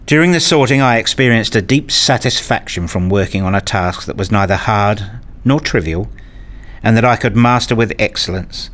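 A man acting as a wounded, self-justifying father in a radio play, speaking in an introspective, deliberate way. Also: on the low side, fairly bright tone, lightly acted. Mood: subdued, focused. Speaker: narrator and lead actor in a radio play. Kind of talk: radio play narration and dialogue.

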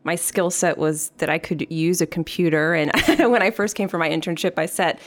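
A woman's voice: low-pitched, fairly even intonation, fairly quick. A woman chatting casually on a podcast, easygoing and self-deprecating. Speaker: agency staffer, podcast guest.

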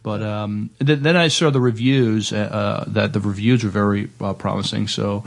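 A man co-hosting a casual film discussion, speaking haltingly and repeating words as he searches. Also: on the low side, steady tone, creaky. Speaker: podcast co-host.

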